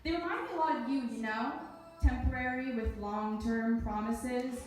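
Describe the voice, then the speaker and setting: Female spoken-word performer, slightly high voice, off-mic in a very reverberant room. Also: moderate pace, soft background music. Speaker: slam poet. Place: echoey indoor event space, live performance. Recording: very echoey room, distant mic.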